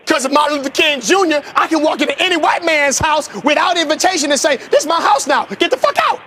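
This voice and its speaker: Casting: comic actor as outraged, bombastic anger translator, piercing high voice, wild swings, noisy clip audio. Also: male voice, lively intonation, quick pace, exaggerated voice. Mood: smugly defiant. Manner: ranting, shouting, bombastic. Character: explosive, indignant male anger translator for a president. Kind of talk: sketch comedy clips played as soundboard. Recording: noisy soundboard clips over phone.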